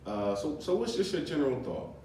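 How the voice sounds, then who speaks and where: A man's voice: fairly high pitch, big pitch swings, fairly quick, darkish tone. A man hosting a podcast in a casual political chat. He talks loosely, chuckles often, and uses many fillers and restarts. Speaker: podcast host. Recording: very echoey room, mic far off, faint noise.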